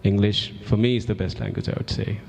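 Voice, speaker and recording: A man's voice: on the low side, very animated, a little fast, vocal fry, darkish tone. A man whose first language is Hindi, a journalist on a festival panel earnestly urging young reporters. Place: festival hall. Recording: long hall reverb.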